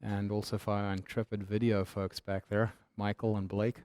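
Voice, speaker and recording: A man's voice: fairly low pitch, level tone, normal speed, darkish tone, no echo. A man from South Africa with a mixed South African accent, giving a humorous, conversational business talk. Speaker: keynote speaker.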